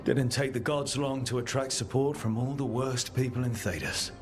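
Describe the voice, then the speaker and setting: A man's voice: mid-range voice, moderate pace, over music, close to an everyday voice. Earnest young hero leader played by a man. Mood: resolute. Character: young male leader of heroes, earnest, resolute. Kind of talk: dramatic video game acting.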